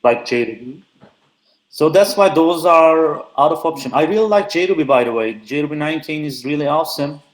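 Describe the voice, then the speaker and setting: Young man, relaxed chatty speaker, lots of filler words, echoey remote call audio. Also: fairly high pitch, moderate pace. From Turkey. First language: Turkish. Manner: frequent "uh" fillers, loose and chatty. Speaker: conference speaker. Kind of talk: casual technical talk.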